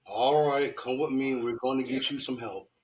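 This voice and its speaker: A man's voice: mid-range voice, moderate pace, a little hiss or hum, close to an everyday voice, poor audio. A man playing a terse, concerned police officer in a script table read, with a clipped, businesslike delivery.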